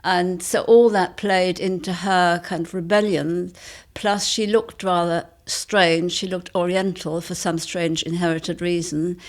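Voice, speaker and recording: Older woman author, slightly low voice. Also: steady tone, measured pace. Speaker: author being interviewed. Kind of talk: reflective interview talk.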